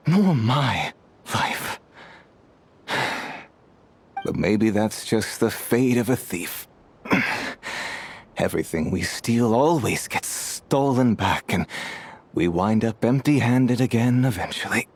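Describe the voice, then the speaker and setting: An actor playing a dying, destitute old thief in a video game, with a weak, breathy voice and a halting, resigned, wry manner. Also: male voice, fairly low pitch, animated, full character voice. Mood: sorrowful, resigned. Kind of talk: dramatic video game voice acting.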